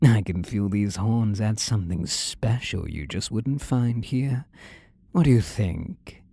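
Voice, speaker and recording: A man's voice: lowish voice, vocal fry, mellow, breathy edge, no room sound, studio quality, exaggerated voice. A man acting a possessive, seductive demon lover in an intimate audio roleplay, commanding and sinister, sometimes sinking toward a whisper. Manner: slow, commanding, sinister purr, often dropping to whispers.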